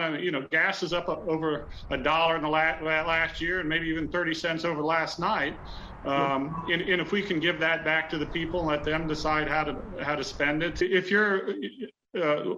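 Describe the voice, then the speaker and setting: A man's voice: a little high, bright, forceful tone, slight background noise. A man, a state politician, explaining in an interview with stumbling restarts. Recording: muffled lo-fi audio.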